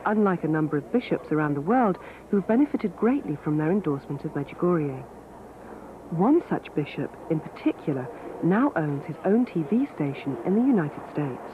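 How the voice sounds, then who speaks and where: A man giving scripted documentary narration in a measured, formal way. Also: fairly high pitch, big pitch swings. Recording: phone-like band, faint hiss.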